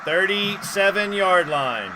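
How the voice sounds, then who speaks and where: A man's voice: high-pitched, expressive, takes it slowly, background noise. A man hosting a live stream, giving casual, jokey play-by-play of a football game. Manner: jokey, chatty asides between plays. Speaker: host doing live play-by-play.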